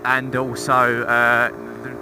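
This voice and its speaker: A man's voice: mid-range voice, completely flat delivery, takes it slowly. A young man talking casually and informally into a helmet intercom microphone while riding a motorcycle, rambling through a review with some swearing and self-mockery. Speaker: motorcycle vlogger. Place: outdoors, riding a motorcycle on roads. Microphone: intercom microphone inside a helmet. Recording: helmet intercom mic with loud engine noise. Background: motorcycle engine and road noise.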